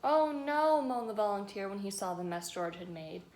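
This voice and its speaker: A woman reading a picture book aloud to young children, expressively, pausing to ask them questions and add her own asides. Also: mid-range voice, darkish tone. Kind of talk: read-aloud storytelling with asides to young listeners.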